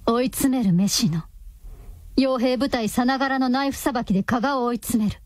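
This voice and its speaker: A woman's voice: fairly high pitch, a little fast, a little mellow, no echo. A woman narrator reading a radio drama in a scripted, dramatic storytelling style.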